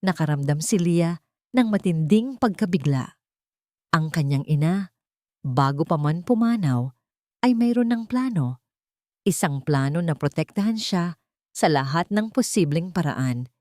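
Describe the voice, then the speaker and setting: A woman narrates a scripted drama in a lowish, mellow voice. The delivery is that of a storyteller, and the sound is dry and close, with no room on it. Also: lively intonation, a little slow, pauses often, dry recording.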